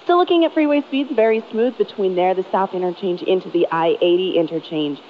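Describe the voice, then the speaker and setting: A woman's voice: mid-range voice, brisk. A woman with an American accent giving a scripted traffic report on the radio. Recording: muffled radio aircheck with noise.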